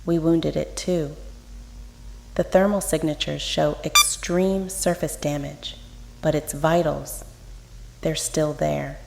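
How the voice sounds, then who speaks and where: A woman playing a composed military scientist in an analog horror drama, deliberate and clinical. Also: low-pitched, fairly even intonation, vocal fry, exaggerated voice. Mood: clinical, wary. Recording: roomy echo, noisy background ambience.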